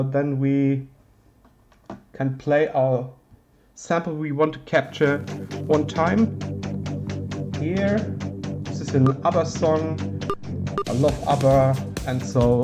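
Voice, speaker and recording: A man with a German accent, a native German speaker, giving an informal hands-on synthesizer tutorial, haltingly searching for words in non-native English. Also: fairly high pitch.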